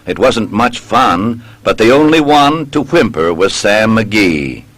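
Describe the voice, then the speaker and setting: A man recites narrative verse at a slow, deliberate pace. His delivery is dramatic and story-driven, with line endings stretched out. The sound is dry and close, with very little room. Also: on the low side, big pitch swings, fairly bright tone, no room sound. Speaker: poem reader.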